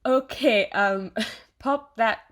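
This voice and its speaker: A woman's voice: on the high side, drawn-out words. A woman playing an eager, awkward and apologetic AI assistant who lives inside someone's head, in an audio drama monologue. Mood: awkwardly encouraging. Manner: bubbly, awkward, hesitant, apologetic, over-reassuring. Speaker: AI personal assistant. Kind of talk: audio theatre monologue addressed to the listener.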